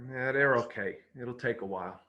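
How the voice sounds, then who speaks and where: A man's voice: medium pitch, moderate pace, muffled recording, lightly acted. A man playing a friendly, welcoming baseball coach in a dramatic script reading. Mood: reassuring.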